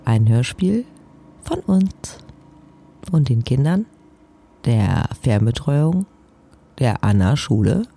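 A man hosting a casual podcast. He speaks slowly at a middle pitch, with a mellow tone and noticeable creak. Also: sing-song. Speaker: podcast host.

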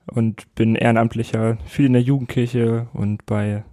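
Brief: eighteen-year-old guy, flat gravelly mellow voice, dry close mic. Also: medium pitch, unhurried, dry recording. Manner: short plain statements. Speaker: youth volunteer, guest. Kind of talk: casual chat.

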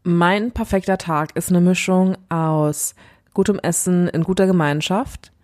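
A woman's voice: fairly low pitch, fairly even intonation, unhurried, darkish tone. A young woman co-hosting a podcast in casual chat, teasing and laughing often. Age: young adult. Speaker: podcast co-host.

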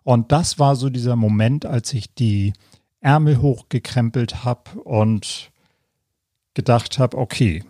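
A man in his fifties from northern Germany, hosting a podcast and telling his own story in a relaxed, reflective way, with many pauses and fillers, seated in an armchair. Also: medium pitch, no echo, clean studio sound. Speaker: podcast host. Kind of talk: casual personal storytelling.

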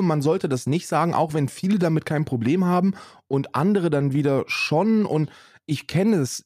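A man chatting comically on a podcast, halting and rambling, dropping in bits of English. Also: mid-range voice, warm tone, no room sound, clean studio sound. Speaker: podcast co-host. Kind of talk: casual comedic podcast banter.

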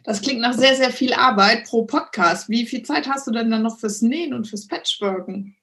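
A woman's voice: fairly high pitch, normal speed, fairly bright tone, breathy edge. A woman interviewing a podcast guest in casual German, encouraging her and often nodding along with short "mhm"s. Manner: encouraging, with lots of "mhm" backchannel.

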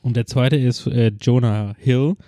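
A man's voice: mid-range voice, fairly even intonation, a little slow, warm tone, no room sound, studio quality. A man co-hosting a podcast in casual, unscripted chat with a dryly ironic, joking manner. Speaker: podcast co-host.

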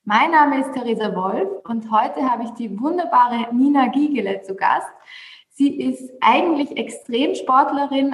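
A woman hosting a podcast, enthusiastic and asking questions with frequent hesitations. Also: a little high. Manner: warm and enthusiastic, hesitant "ähm" fillers in questions. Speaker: podcast host. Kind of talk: podcast interview. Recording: roomy echoey sound.

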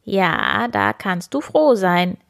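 A woman's voice: fairly low pitch, wildly swooping pitch, slow, gravelly, fairly bright tone, no room sound, studio quality, full character voice. A woman voicing a small scheming little man in a fairy tale, coaxing and bargaining.

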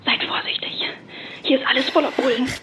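A woman playing an exhausted, injured young activist in an audio drama, weak and breathy with pain. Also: sits high, fairly even intonation, fairly quick, a bit bright, in a whisper. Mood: weary, pained. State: in pain, coughing. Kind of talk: naturalistic audio drama acting. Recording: dull muffled phone call, faint noise.

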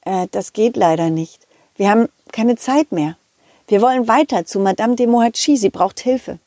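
A woman's voice: mid-range voice, moderate pace, warm tone, dry recording, clean studio sound, natural voice. A woman voicing a cheerful, loyal male dog who tells his own adventure to children in a chatty, giggly way. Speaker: storyteller. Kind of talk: children's audio play narration.

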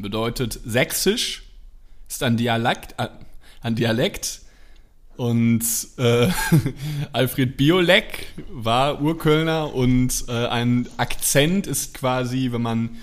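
A man from Germany speaks slowly on a video call, with a mid pitch. He rambles and halts as he explains. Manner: rambling, halting. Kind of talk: casual chat on a video call.

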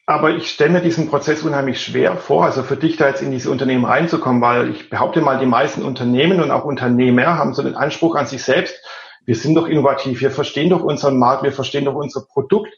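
Swabian guy hosting a podcast, gravelly and a bit high, dull roomy sound. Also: fairly even intonation, fast talker. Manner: conversational, asks questions. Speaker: podcast host. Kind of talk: podcast interview.

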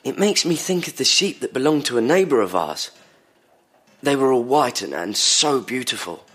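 An actor playing a troubled, polite patient in an audio drama, halting and repeating words as he recalls. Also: medium pitch, sing-song, fairly bright tone, slightly rough. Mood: wistful. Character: troubled, polite male patient recounting dreams to analyst.

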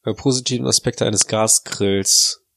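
A man's voice: lowish voice, steady tone, unhurried, creaky, bright, forceful tone. A man co-hosting a podcast, talking with a stuffy nose that makes him sound nasal, rambling and joking in casual banter. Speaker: podcast co-host.

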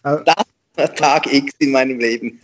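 A man, a memory trainer telling lively, self-deprecating anecdotes in an interview and laughing often. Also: mid-range voice, unhurried, slight background noise. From Switzerland. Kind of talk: conversational storytelling interview.

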